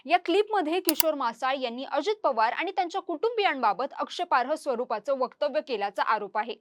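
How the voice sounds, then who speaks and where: A woman presenting scripted news narration. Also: high-pitched.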